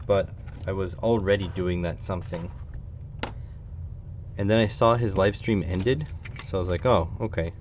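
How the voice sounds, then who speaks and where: Low-voiced Canadian man thinking aloud. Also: normal speed, pauses often, darkish tone. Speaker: hobbyist electronics streamer. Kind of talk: casual live stream chat while working. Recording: dull, slightly lo-fi with faint noise.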